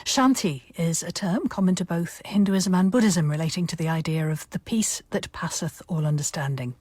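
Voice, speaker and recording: A woman's voice: fairly low pitch, moderate pace, darkish tone, dry recording. A woman reading scripted narration as an announcer, composed and informative. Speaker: radio announcer.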